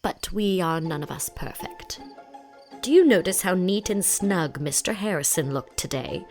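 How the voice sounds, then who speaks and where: A woman playing a sighing, mournful, gently critical married village gossip in an audiobook. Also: lowish voice, animated, measured pace, faint music behind. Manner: sighing, plaintive. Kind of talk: audiobook character acting.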